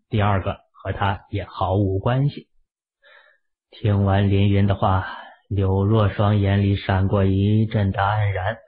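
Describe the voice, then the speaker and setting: A man narrating an audiobook drama in a lively storytelling manner. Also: lowish voice, husky. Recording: muffled phone-like audio.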